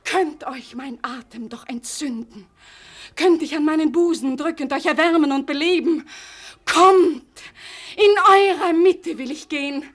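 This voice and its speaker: A woman's voice: high voice, unhurried. A woman playing a fervent young heroine in a 1940s radio play, declaiming classical verse-like lines with great passion. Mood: rousing, fervent. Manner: impassioned, declamatory, dramatic shifts from hush to cry. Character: fervent young woman rallying townsfolk to save her beloved.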